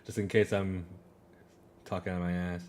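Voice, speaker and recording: A man's voice: low-pitched, little pitch movement, moderate pace, a little mellow. A man bantering casually during a tabletop role-playing game. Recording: faint background noise.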